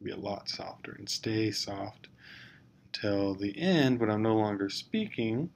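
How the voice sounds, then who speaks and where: A man's voice: on the low side, lively intonation, unhurried. A man narrating a casual instructional screencast, explaining step by step in an informal, hesitant way. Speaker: teacher giving software tutorial. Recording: clear background noise.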